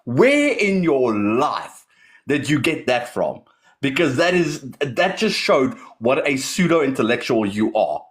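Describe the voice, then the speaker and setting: Indignant, slow-talking man in debate. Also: medium pitch, big pitch swings. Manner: indignant, confrontational. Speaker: debate participant. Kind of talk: heated debate rebuttal.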